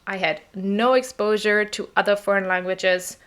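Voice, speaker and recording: A woman who grew up in southern Germany speaks English with a clear German accent. Her voice sits at an ordinary middle pitch, and she speaks in a chatty, personal way. She laughs easily and keeps checking in with her viewers as she shares her story. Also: steady tone, normal speed, fairly bright tone. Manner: chatty, laughs often, tag questions like okay. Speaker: English teacher.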